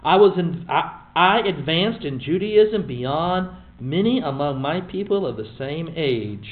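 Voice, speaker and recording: A man teaching a Bible study in a lively, emphatic way with wry asides. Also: on the high side. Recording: echoey room over a tinny phone-like line.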